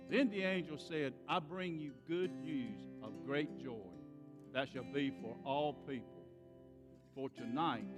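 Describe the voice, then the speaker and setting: A humble, awestruck shepherd played by a man tells his story with folksy theatrical flair. His pitch swings widely and his pace is slow and dramatic, with guitar music playing underneath. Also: in a large hall. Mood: awed, tender. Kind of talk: dramatic monologue in a church Christmas play.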